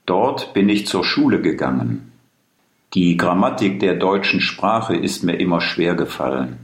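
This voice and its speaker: A man in his fifties from Germany, giving a careful, measured read-aloud monologue for a listening exercise. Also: low-pitched, very animated. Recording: roomy echo.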